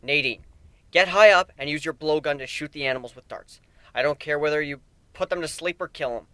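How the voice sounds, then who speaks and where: A man's voice: on the high side, a little fast, a bit bright, clean tone, no room sound, natural voice. A man voicing a sarcastic, cocky male griffin warrior in a dramatic story reading, mocking and emphatic. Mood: commanding. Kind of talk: dramatic fan fiction reading. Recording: background music under the voice.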